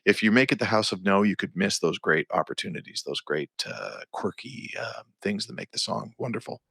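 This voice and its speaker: A man's voice: on the low side, a little fast, creaky, husky, no room sound, clean studio sound. A middle-aged Canadian man, a songwriter and producer, chatting in an interview and acting out quotes as he tells stories. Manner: animated storytelling, acting out quotes, restarts. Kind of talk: casual interview chat.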